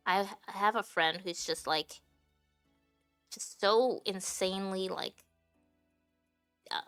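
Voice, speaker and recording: A woman's voice: medium pitch, vocal fry, fairly bright tone. Japanese-native woman streamer just chatting, lots of "like" and restarts, faint music in the back. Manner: rambling, many fillers and restarts. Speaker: livestreamer. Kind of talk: casual stream chat.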